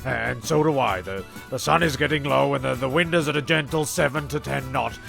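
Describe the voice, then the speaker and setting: Creaky-voiced man narrating comedy. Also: medium pitch, music in the background. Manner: halting, repeats words. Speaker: narrator and host. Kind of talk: informal improvised comedy narration.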